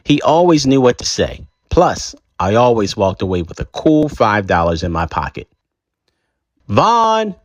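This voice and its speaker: A man reading a first-person chapter book aloud in a conversational, expressive way. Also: lowish voice, moderate pace, hardly any pauses, no room sound. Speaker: storybook reader.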